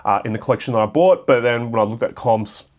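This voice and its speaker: A young Australian man with a raspy voice from a cold, casually talking through card sale prices with lots of fillers. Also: medium pitch, fast. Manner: rambling, filler-heavy, thinking aloud. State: hoarse from a cold. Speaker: card shop vlogger. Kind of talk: casual solo commentary.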